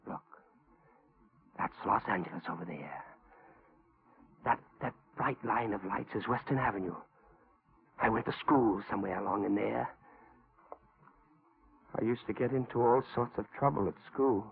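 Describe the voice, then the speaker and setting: An actor playing a calm, brooding escaped killer with quiet menace in a 1940s radio thriller. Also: male voice, on the low side, normal speed, creaky, pauses often, breathy edge, full character voice. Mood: wistful, brooding. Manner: quietly menacing, matter-of-fact. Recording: tinny 1940s radio recording.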